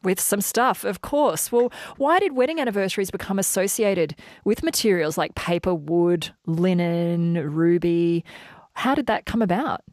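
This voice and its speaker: Woman, radio host, upbeat, bantering, low-ish voice, dry studio. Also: normal speed, darkish tone, no room sound. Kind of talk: live radio interview. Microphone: studio mic, dry.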